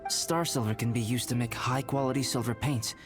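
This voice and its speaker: This is a calm young male alchemist and painter, played by a man, who explains things in a measured, patient way. His voice sits at an ordinary middle pitch. Game background music runs clearly beneath his lines. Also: close to an everyday voice. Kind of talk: video game voice acting.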